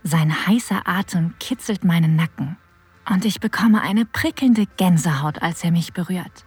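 A woman playing a flirtatious, adventurous narrator of an erotic audio drama, with a breathy voice that often drops toward a whisper. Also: on the low side, a little slow, darkish tone, no room sound, faint music behind. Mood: intimate, anticipating. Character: flirtatious, adventurous woman telling her own erotic story. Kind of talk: intimate first-person scripted narration.

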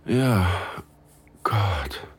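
A man playing an eager, submissive lover in an erotic audio drama, murmuring haltingly in a gravelly voice. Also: low voice, big pitch swings, slight background noise, exaggerated voice. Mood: aroused.

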